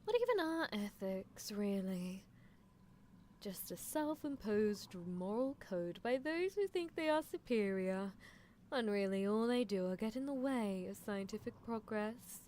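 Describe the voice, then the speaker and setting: A woman's voice: medium pitch, sing-song, a little slow, darkish tone. A woman voicing a gleefully unethical horse-girl scientist in an ASMR roleplay, musing in a coolly clinical, teasing manner. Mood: amused, dismissive. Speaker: streamer performing character roleplay. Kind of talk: ASMR roleplay, improvised character monologue. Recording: clear background noise.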